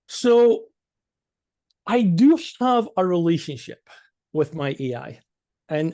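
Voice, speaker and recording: High-voiced Scottish man presenting informally. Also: a little mellow. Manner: conversational, emphatic, often checks in with "right?". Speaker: business coach and consultant giving a presentation. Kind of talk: informal explanatory business presentation.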